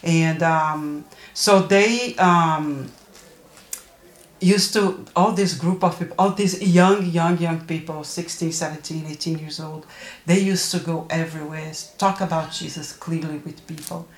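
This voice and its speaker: A woman in her early sixties from Brazil speaks with a Brazilian accent in a low voice. She tells her personal story as an interview guest, halting and searching for words. The recording is roomy and echoing. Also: fairly even intonation. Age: early 60s.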